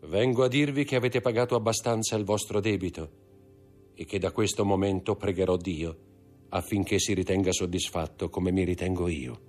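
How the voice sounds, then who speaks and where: A man voicing an implacable avenging count, slow and solemn, his husky low voice lifted a little from the narration. He sounds cold and unhurried, weighing every word. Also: fairly even intonation, a little mellow, soft background music. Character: calm, implacable avenging count disguised as a priest.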